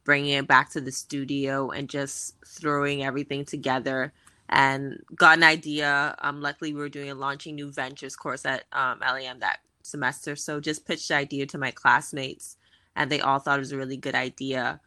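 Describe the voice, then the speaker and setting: A woman in her thirties from the Bahamas talks as an interview guest, chatty and upbeat. Her voice sits low yet rings bright and forceful. The sound is dry and close. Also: level tone, normal speed, dry recording. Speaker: guest, fashion tech founder. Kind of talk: casual interview conversation.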